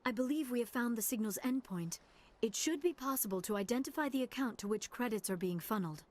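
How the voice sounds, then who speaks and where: A woman's voice: fairly high pitch, animated, quick pace, natural voice. A woman voicing a composed, analytical sci-fi game companion with a precise, formal way of speaking. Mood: calm, curious. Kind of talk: video game voice acting.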